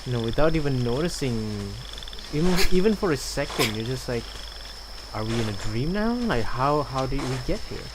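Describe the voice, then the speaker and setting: A man's voice: mid-range voice, lively intonation, moderate pace, heavy background noise. A man doing casual live gameplay commentary, musing and a little hesitant as he goes. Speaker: game streamer. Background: game music.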